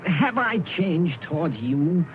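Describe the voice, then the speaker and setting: A cold, defensive young suitor. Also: male voice, fairly high pitch, sing-song, takes it slowly, a little hiss or hum. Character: young man grown cold and greedy. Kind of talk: 1930s radio drama acting. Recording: distant, tinny old radio broadcast with music.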